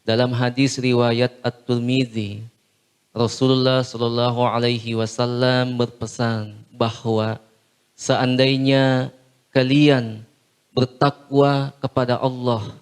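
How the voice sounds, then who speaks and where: Male Islamic preacher for a short Ramadan talk: measured, solemn, flat in intonation, clean resonant tone, pausing between phrases. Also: medium pitch, clean tone. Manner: measured, solemn, phrase-by-phrase delivery with long pauses.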